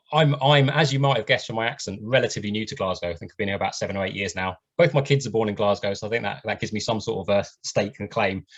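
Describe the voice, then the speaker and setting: Male host, quick and earnest, deep-ish voice, dull call audio. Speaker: event host. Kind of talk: online event chat. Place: home video call. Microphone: video call. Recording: muffled lo-fi video call audio.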